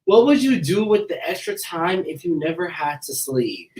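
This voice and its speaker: Woman, podcast co-host, expressive delivery, blunt slangy banter, cursing, a bit far from the mic. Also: lowish voice, moderate pace. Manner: blunt, slangy, foul-mouthed. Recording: echoey room, distant from mic.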